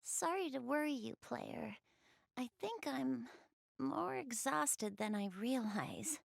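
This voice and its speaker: A woman voicing a shy, easily flustered young goddess in an anime video game, speaking softly and hesitantly to the player. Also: high-pitched, expressive, creaky, no room sound, studio quality, full character voice. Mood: weary, soft. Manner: hesitant, bashful, halting.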